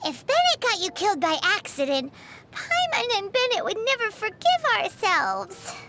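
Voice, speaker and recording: Very high squeaky child voice, tiny game fairy sidekick, excitable, exclaiming, swooping intonation, faint rumbly noise. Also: female voice, moderate pace. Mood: chatty, opinionated. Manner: childlike, exclaiming, speaks of herself in third person. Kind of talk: video game voice acting.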